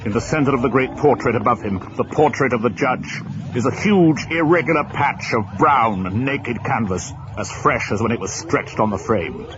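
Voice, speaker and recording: An actor playing a grave storyteller, narrating a horror radio drama in a deliberate, suspenseful and literary manner. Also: male voice, mid-range voice, natural voice. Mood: ominous, grave. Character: grave, ominous male storyteller of a horror tale. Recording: dull, lo-fi, noisy radio drama recording.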